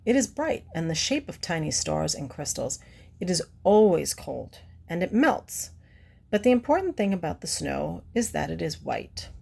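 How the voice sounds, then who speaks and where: Low, husky woman reading to children. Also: big pitch swings, moderate pace, mellow. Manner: asks the listener questions, explains simply. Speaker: children's read-aloud presenter. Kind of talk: picture-book read-aloud with teacherly asides to children.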